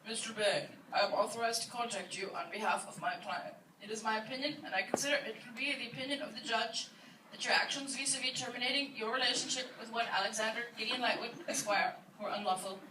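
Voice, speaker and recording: A woman's voice: on the high side, steady tone, quick pace, close to an everyday voice. A woman acting a fierce young woman posing as a pompous lawyer, formal and emphatic, in a comic reading. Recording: roomy, distant old iPod recording, faint noise.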